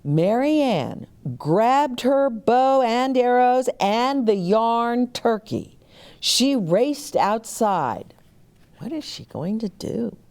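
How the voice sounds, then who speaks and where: A woman storyteller reading a picture book aloud to young children, animated, with chatty explanatory asides. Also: a little high, takes it slowly.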